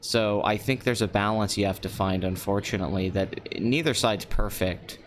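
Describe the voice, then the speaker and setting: Man, late twenties, from Pennsylvania, flat deadpan voice, low and calm, low-ish pitch, faint background hiss. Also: moderate pace. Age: 20s. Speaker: live-stream host. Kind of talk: casual solo live-stream talk. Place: nearly empty apartment. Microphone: desk microphone.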